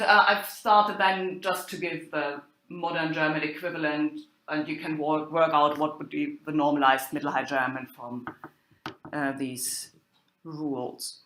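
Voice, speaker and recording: A woman giving an academic lecture as a university lecturer, speaking haltingly and thinking aloud. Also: low-pitched, a bit bright. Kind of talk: academic lecture on medieval drama. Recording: roomy, echoing sound.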